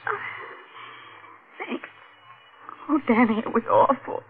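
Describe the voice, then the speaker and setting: A woman playing a detective's frightened, shaken wife in 1940s radio melodrama, with a breathy, faltering delivery. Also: on the high side, slow pace, phone-quality sound, heavily acted. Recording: thin, noisy vintage radio.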